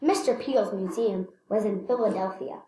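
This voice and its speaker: A woman reading a children's picture book aloud, slightly halting, repeating phrases and adding casual asides. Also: mid-range voice, warm tone, slight background noise. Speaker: read-aloud storyteller. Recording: echoey room.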